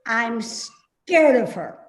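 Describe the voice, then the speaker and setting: Woman acting a querulous, slow-talking elderly mother. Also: a little high, very animated, exaggerated voice. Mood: suspicious, disgusted. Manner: nagging, indignant, slow and insistent. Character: querulous, suspicious elderly mother, complaining and accusing. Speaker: actor in a readers theater play. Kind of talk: dramatic play reading. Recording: roomy, echoey remote reading.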